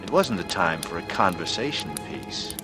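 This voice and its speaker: A man's voice: low voice, big pitch swings, normal speed. An actor playing a secretly guilty man who confides tensely and feigns innocence, in 1940s radio noir style. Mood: tense, brooding. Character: secretly guilty strangler narrating, nervy, falsely casual man from the East. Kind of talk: 1940s radio noir acting, first-person narration. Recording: old radio recording with loud music.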